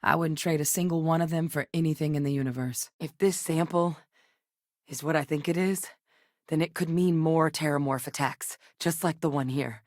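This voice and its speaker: An actress voicing an earnest female military commander in a video game, with a firm, measured delivery. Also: sits low, dry recording, studio quality, natural voice. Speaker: game character.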